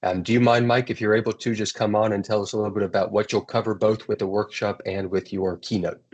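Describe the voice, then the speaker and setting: A man's voice: fairly low pitch, fast, slightly rough. Easygoing American man hosting a webinar. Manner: relaxed, rambling with restarts. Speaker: webinar host. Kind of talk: casual webinar introduction.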